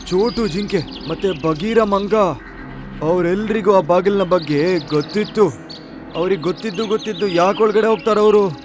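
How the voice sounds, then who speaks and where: Casting: an anxious, earnest cartoon animal of the forest, high register, over prominent music. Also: male voice. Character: worried earnest talking forest animal reporting to his king. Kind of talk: cartoon story voice acting.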